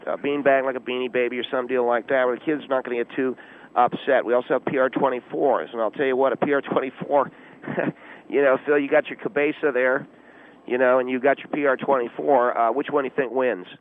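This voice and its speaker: Guy doing a clueless officious auxiliary cop, deadpan, pompous, phoned into a comedy radio show, tinny line. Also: medium pitch, brisk. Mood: officious, confident. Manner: pompous pseudo-tactical jargon, hesitant filler-laden delivery. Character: officious, self-important male volunteer auxiliary policeman, clueless and unflappable. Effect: telephone line. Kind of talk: deadpan comic radio call-in.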